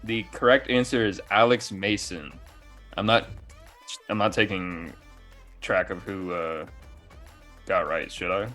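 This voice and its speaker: A man's voice: sits low, fairly even intonation, unhurried, long pauses, a bit bright, faint music behind. A man chatting casually and bluntly with plenty of swearing, acting as quizmaster on a podcast. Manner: blunt, profane. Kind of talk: casual group banter.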